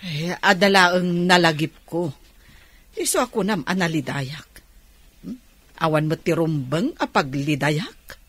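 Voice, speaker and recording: A lonely middle-aged mother in an Ilocano radio drama. Her low voice moves slowly and swings with feeling, wistful and close in a dry studio. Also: animated, a bit bright, breathy edge, no room sound, full character voice. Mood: evasive, wistful. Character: lonely, sighing older mother with grown children.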